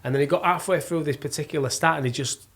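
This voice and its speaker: A man's voice: a little high, quick pace, a bit bright. Middle-aged Yorkshire man telling jokey anecdotes. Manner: jokey, self-mocking storyteller. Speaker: podcast guest, actor and plasterer. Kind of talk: casual chat, animated anecdote telling.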